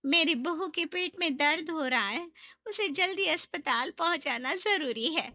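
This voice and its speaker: A woman's voice: sits high, expressive, measured pace, bright, forceful tone, no echo, full character voice. A woman voicing a gossipy, teasing neighbour in a moral story cartoon, with an exaggerated needling manner. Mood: pleading. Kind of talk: animated moral-story character acting.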